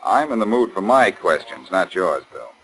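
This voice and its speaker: Brash, low-voiced radio detective with swooping delivery. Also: male voice, big pitch swings, normal speed, fairly bright tone, rough recording. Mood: accusing. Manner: blunt, insistent. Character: brash, persistent male amateur sleuth, wisecracking and confident. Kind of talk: 1940s radio acting. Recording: 1940s radio broadcast recording.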